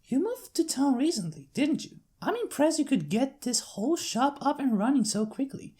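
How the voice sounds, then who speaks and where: A woman's voice: high voice, big pitch swings, normal speed, dark tone. A woman voicing chatty, inquisitive young female ponies in a cartoon fan story. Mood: friendly. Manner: bubbly, inquisitive.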